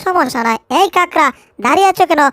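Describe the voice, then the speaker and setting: An extremely high little cartoon cat voice. The cat is timid and naive, pleading one moment and eager the next. It sounds dry, without room echo. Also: female voice, lively intonation, quick pace, a bit bright. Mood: nervous, urging. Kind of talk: cartoon fan dub.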